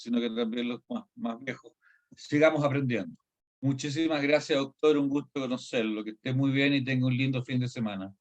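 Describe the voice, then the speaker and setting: A man, hosting for a university and giving formal, courteous thanks to a guest speaker on a video call. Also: mid-range voice. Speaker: university host. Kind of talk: formal thanks at a webinar close.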